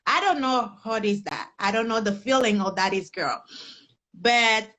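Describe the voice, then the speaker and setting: A woman's voice: a little high, measured pace, fairly bright tone, low-quality recording. A young adult woman from Haiti with a Haitian accent, speaking earnestly as an interview guest in a conversational chat. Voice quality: non-native English grammar, earnest. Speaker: guest, personal development coach.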